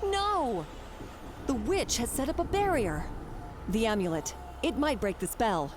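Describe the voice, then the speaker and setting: A woman's voice: a little high, big pitch swings, measured pace, noisy background. An actress voicing a brave, compassionate mother in a video game adventure, earnest and dramatic. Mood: alarmed. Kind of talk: video game character acting.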